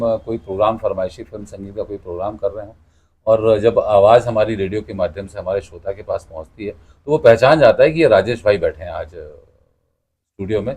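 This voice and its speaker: A middle-aged man speaks in a fairly low voice. He answers as an interview guest, in a conversational and explanatory way. He likes to make his points through small stories. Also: darkish tone. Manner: explanatory, tells anecdotes with quoted dialogue. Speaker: interview guest, cartoonist and radio announcer.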